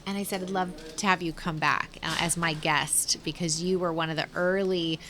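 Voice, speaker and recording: A woman with a low voice tells her story in an easygoing interview. She keeps quoting what she said back then, and her voice jumps higher and louder when she does. A faint hum of room noise sits under her voice. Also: moderate pace. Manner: animated, quotes herself in lively asides. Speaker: founder of a youth culinary program.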